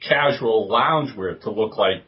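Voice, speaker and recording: A man's voice: on the low side, unhurried. A man co-hosting a podcast in casual group chat, talking in a playful, wry way. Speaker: podcast co-host. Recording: muffled lo-fi, off the mic.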